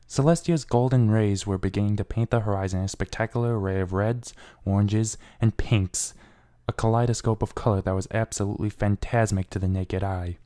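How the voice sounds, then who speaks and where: A man's voice: on the low side, fairly even intonation, a little fast, warm tone. A man giving a brooding, theatrical first-person reading of a fan fiction story. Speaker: amateur fan fiction reader.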